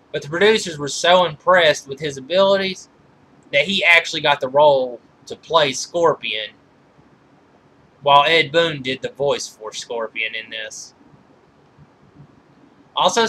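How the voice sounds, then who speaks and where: Bright-voiced man reviewing movies, noisy recording. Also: on the high side. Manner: halting, often corrects himself mid-sentence. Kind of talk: informal film trivia commentary.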